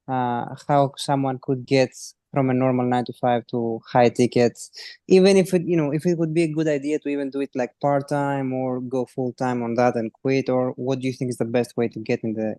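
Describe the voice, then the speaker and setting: Greek accent guy, halting, keeps restarting, mellow dry voice. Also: medium pitch, steady tone, dry recording. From Greece. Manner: hesitant, halting, many restarts. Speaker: caller on a support call. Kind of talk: casual question on a group call.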